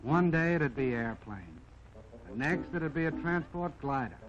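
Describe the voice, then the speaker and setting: A man's voice: fairly high pitch, sing-song, unhurried. A man voicing a modest, plucky army jeep that tells its own life story in a folksy, wry 1940s film narration. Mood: earnest, wondering. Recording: dull vintage 1940s film soundtrack, music behind.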